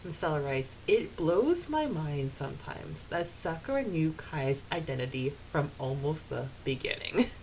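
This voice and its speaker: A woman co-hosts a podcast with very lively intonation and a leisurely pace. She is giggly and informal, switching between chat and expressive reading, with a faint hiss on the recording. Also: deep voice, a little slow, hardly any pauses, rough recording. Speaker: podcast co-host.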